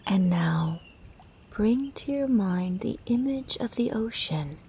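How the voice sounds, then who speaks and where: Female meditation guide: mellow, half-whispered and breathy, gentle, soothing delivery at an unhurried pace. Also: medium pitch, animated, slight background noise. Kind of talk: guided sleep meditation narration.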